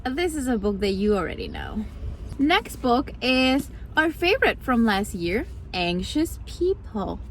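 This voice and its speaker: A young woman whose first language is Spanish talks in a casual, chatty vlog style. Her voice sits fairly high. The informal recording carries faint background noise. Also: wildly swooping pitch, a little slow, rough recording. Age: 20s. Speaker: vlogger.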